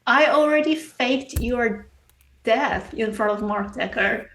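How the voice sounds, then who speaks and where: A stern female vampire in a tabletop game, voiced by a woman who presses for answers in slow, measured sentences. The voice is fairly high and heard in an echoey room. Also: fairly bright tone. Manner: measured, pointed questioning.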